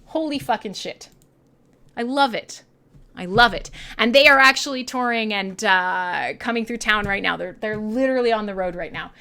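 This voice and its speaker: A woman with a Canadian accent hosting a casual, irreverent live radio show, talking in an excitable, playful, rambling way with plenty of swearing. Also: medium pitch, moderate pace. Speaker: radio host and metal DJ. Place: her own home-style studio.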